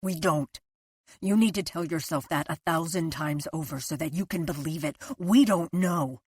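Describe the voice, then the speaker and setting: A woman narrator voicing a controlling husband who hushes his wife in clipped, urgent commands. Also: sits low, normal speed, husky, no echo. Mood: stern. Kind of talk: audiobook character voice.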